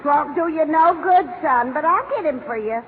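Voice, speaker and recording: An actress playing a homely, exasperated old Mrs. Claus, blunt and folksy, in a 1940s radio comedy. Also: female voice, sits high, lively intonation, moderate pace. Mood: motherly, exasperated. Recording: tinny, noisy 1940s radio recording.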